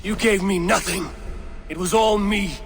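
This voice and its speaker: A defiant Viking warrior. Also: male voice, sits high, unhurried, a little hiss or hum. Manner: curt, defiant refusals. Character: defiant male Viking warrior chief, stubborn and proud. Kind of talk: video game cutscene acting. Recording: loud orchestral game score behind.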